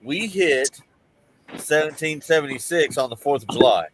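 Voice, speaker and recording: A middle-aged American man chats casually with a lively, swinging pitch that sits a bit high. His mic carries faint noise. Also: moderate pace, poor audio. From Oklahoma, United States. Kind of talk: casual chat.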